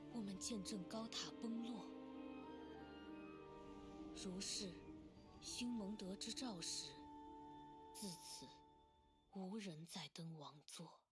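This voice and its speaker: A woman playing a youthful androgynous male wind-spirit bard in a video game, telling tales in a lyrical, measured way. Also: mid-range voice, close to an everyday voice. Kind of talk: video game voice acting, poetic storytelling. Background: loud game music.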